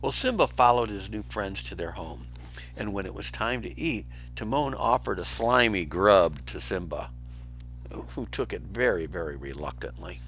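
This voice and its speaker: Animated male storybook reader, lowish voice. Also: moderate pace, breathy edge, poor audio. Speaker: storyteller reading to pupils. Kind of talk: expressive children's storybook narration.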